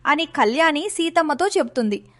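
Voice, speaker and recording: Woman narrator for kids' moral tale, clearly high register, scripted delivery. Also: lively intonation, normal speed, a bit bright.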